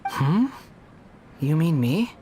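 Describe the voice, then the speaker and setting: A man's voice: fairly high pitch, big pitch swings, very slow, warm tone, heavily acted. A man voicing a polite, earnest young shop helper in a video game, with a deferential, apologetic manner. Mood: apologetic, sheepish. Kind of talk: English video game voice acting.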